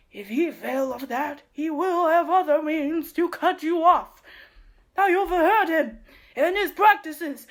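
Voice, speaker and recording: Woman playing an anxious old servant man, high-voiced. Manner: earnest, emotive, slow declamation. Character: devoted old male servant, anxious and loyal. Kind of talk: Shakespearean radio play acting.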